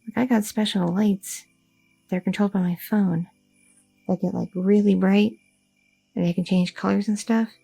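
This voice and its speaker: A curious, chatty young girlfriend, voiced by a woman in an ASMR roleplay, her voice very gravelly, mellow and softly husky. She rambles with many fillers and asks question after question in a nervous way. The close-mic sound is dry, with faint music behind. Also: medium pitch, fairly even intonation, normal speed, long pauses, no echo, rough recording. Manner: rambling, inquisitive, filler-heavy talk.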